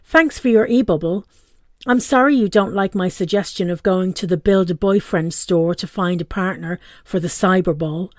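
A woman's voice: fairly low pitch, moderate pace, a little mellow, no room sound, clean studio sound, close to an everyday voice. A woman voicing a hurt adult friend leaving a stiffly polite, reproachful message in a story she reads aloud.

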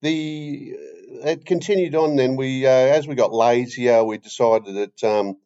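An older man with an Australian accent, rambling haltingly and deadpan as he hosts a comedy podcast chat, on a slightly dull recording. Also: medium pitch.

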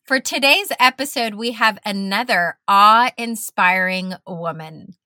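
A woman hosting a faith-based podcast interview, speaking effusively and warmly praising her guest. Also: medium pitch, no echo, studio quality. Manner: effusive, praising, drawn-out phrasing. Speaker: podcast host.

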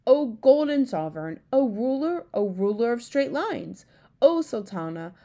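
Woman voicing a big gentle storybook giant, muddled grammar, courtly, mellow. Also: a little high, big pitch swings, a little slow, pitched above the performer's own voice. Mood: earnest. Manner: garbled grammar, grand humble tone. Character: huge kindly male giant, mangles words.

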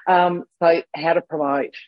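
An Australian woman in her sixties with a husky voice, hoarse from a cold, presenting a chatty online masterclass with rambling restarts over a video call. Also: on the low side, steady tone, measured pace, dry recording, lo-fi sound. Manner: warm, rambling, with frequent restarts.

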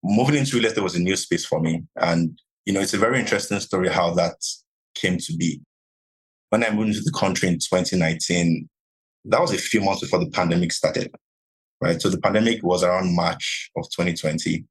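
A deep-voiced man from Nigeria speaks as a podcast guest, answering steadily and calmly. His tone is low and slightly husky, and he leans on "you know" often as he explains. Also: rough recording. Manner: measured, many "you know" fillers. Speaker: podcast guest, real estate investor. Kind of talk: conversational interview answers.

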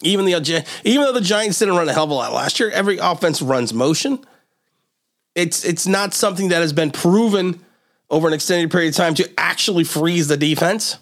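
A man hosting a football talk show, slightly hoarse, rambling through animated analysis. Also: on the high side, a little fast. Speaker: host of a sports talk show. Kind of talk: sports talk vlog.

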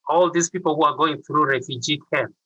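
A man's voice: fairly high pitch, a little fast, pushed and bright, slightly rough, no echo, dull audio. A middle-aged man with a Ugandan accent, telling his story earnestly as an interview guest. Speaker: interview guest, youth mentor.

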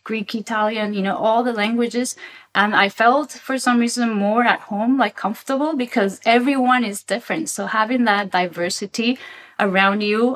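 A woman born in Paraguay with an Argentinian-tinged accent, a guest in a casual interview, speaking reflectively with many restarts and "right?" tags. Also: on the low side. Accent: Argentinian-tinged English.

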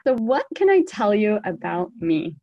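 A woman's voice: medium pitch, sing-song, normal speed, mellow, no echo, lo-fi sound. A woman in her thirties with an American accent, talking solo to her podcast listeners with an emphatic delivery that repeats words for stress. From born in South Africa, raised in the United States. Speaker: podcast host.

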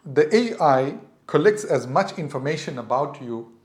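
A man's voice: fairly high pitch, animated, moderate pace, roomy sound. A middle-aged man with a South African English accent, hosting a podcast and earnestly advising parents in a reflective monologue. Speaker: podcast host.

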